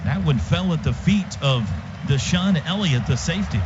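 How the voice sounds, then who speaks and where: Male football play-by-play caller, high register, punchy and clipped, over loud stadium din. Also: expressive, normal speed. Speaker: play-by-play radio announcer. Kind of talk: live football play-by-play commentary. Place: stadium radio booth. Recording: dull radio broadcast, loud stadium noise. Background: loud stadium ambience.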